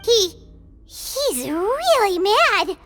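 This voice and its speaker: A woman voicing a tiny, excitable, childlike floating fairy companion in a video game, squeaky and cartoonish. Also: extremely slow, exaggerated voice. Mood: nervous. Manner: squeaky, refers to self in third person. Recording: music behind the voice. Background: game music.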